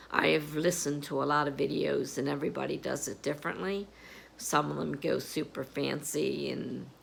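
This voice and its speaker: A woman with a froggy, hoarse voice chatting casually about her writing plans, rambling with plenty of fillers. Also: low voice, a bit bright. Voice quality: gravelly. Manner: slow, rambling, with many fillers. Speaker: writer vlogging about novel prep. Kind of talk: casual chat to camera. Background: air conditioner humming.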